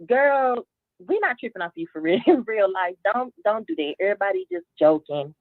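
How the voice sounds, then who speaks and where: A woman's voice: mid-range voice, big pitch swings, normal speed, dry recording. A woman calling in to a live show, sassy and laughing as she talks. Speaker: caller. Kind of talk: casual phone call-in. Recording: tinny phone line.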